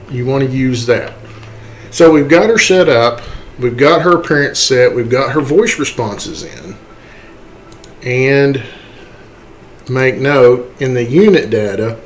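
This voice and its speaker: Man, medium pitch, unhurried halting delivery, step-by-step tutorial host, checks in often, background noise. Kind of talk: unscripted screen-recorded software tutorial.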